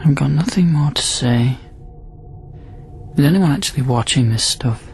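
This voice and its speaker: An actor in a radio drama playing a lonely, sardonic astronaut held in quarantine, talking bluntly and informally. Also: male voice, mid-range voice, expressive, moderate pace, creaky, slight background noise. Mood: bitter, wry.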